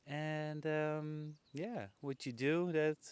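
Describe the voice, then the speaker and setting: A man's voice: fairly high pitch, fairly even intonation, very slow, smooth, no echo. A man hosting a conversational podcast interview outdoors on a public bench, talking casually.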